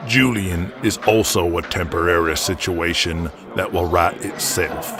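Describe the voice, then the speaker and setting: A man voicing a stubborn, gruff wrestling promoter in an audio drama, terse, folksy and blunt. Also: low voice, fairly even intonation, a little slow, crowd noise, voice pushed down. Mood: dismissive.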